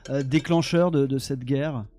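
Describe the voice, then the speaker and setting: A man asking questions in a casual discussion, hesitant and halting as he builds them. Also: mid-range voice, dark tone.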